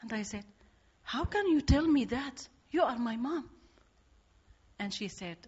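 A woman's voice: a little high, lively intonation, measured pace, a little mellow, breathy edge, lo-fi sound. A woman with an Iraqi accent giving a church testimony in simple English, hesitant and full of fillers. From Iraq. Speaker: guest speaker.

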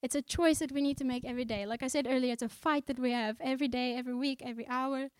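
A woman from South Africa preaching a sermon in the Netherlands. She speaks earnestly and conversationally, slowing down when she reads scripture aloud. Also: high voice, steady tone, clear, resonant tone, dry recording. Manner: conversational, with fillers and restarts.

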